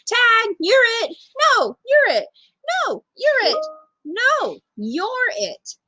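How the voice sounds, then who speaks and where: A woman's voice: very high-pitched, wildly swooping pitch, drawn-out words, pushed and bright, no echo, pitched above the performer's own voice, full character voice, rough recording. A woman voicing young children, eager and easily hurt, with exclamatory, pleading delivery in a storybook reading. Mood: playful, teasing.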